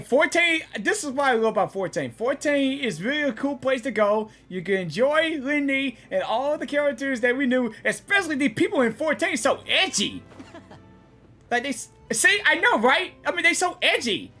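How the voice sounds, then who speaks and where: A woman giving casual, excited reaction commentary on a game, slangy, exclamatory and repetitive. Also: high voice, normal speed, faint music behind. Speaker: gaming reaction host.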